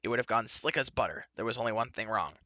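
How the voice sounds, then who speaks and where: A man's voice: fairly low pitch, expressive, fast, bright, forceful tone, dry recording. A man doing a snappish little fair-folk creature. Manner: snappish, blustering.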